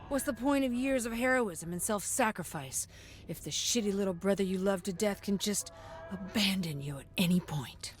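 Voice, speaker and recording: A woman's voice: mid-range voice, natural voice. A woman acting a blunt, protective character in a video game cutscene, giving tough-love counsel in a frank, emphatic way. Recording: music under the voice.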